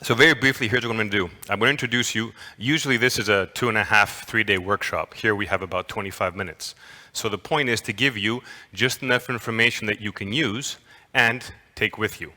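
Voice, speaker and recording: A man's voice: fairly low pitch, brisk, hardly any pauses, pushed and bright. A man giving a playful, interactive lecture to an audience, often asking them questions. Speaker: conference lecturer. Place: large lecture hall. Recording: long hall reverb.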